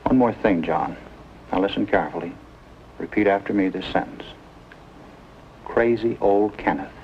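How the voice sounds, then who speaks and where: Reassuring American physician, played by a man, measured delivery, deep voice, old TV drama with slight hiss. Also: close to an everyday voice. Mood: calm, instructive. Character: calm, plain-spoken male doctor, reassuring with young patients. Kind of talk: 1950s television drama acting. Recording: muffled, noisy old 1950s TV soundtrack.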